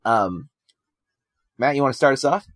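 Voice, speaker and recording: A man co-hosting a casual film podcast, relaxed and conversational, with frequent ums and you-knows. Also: medium pitch, normal speed, no room sound, clean studio sound. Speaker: podcast co-host.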